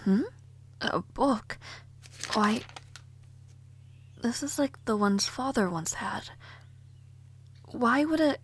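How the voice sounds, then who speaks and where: A woman's voice: fairly high pitch, animated, slow, pauses often, husky, exaggerated voice. A woman playing a cheerful, headstrong young noble lady mage in an audio roleplay drama, with genteel, old-fashioned phrasing. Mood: puzzled, curious. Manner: genteel, old-fashioned, chatty phrasing with soft laughs and sighs.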